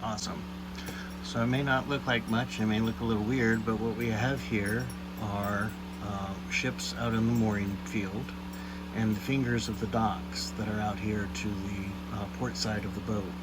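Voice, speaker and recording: A man with a fairly low voice talks through a hands-on job in a casual, explanatory way. His delivery is matter-of-fact, like a vlogger showing his work. Clear background noise runs behind him in the cramped space. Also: steady tone, normal speed. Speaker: boat DIY vlogger. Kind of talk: casual how-to narration to camera. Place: cramped interior of a boat in a yard.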